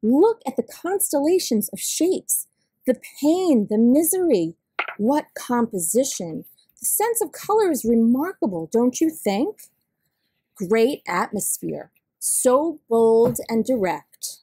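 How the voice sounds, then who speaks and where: A woman's voice: a little high, very animated, slow, dark tone, slightly rough, no echo, full character voice. A woman voicing a gushing, intense museum guide who loves art, dramatic and lingering on words.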